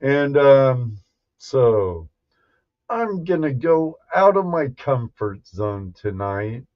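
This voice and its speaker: A man hosting a casual livestream, greeting viewers one by one in a folksy, halting way. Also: mid-range voice, big pitch swings. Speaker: livestream host. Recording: dull, lo-fi livestream audio.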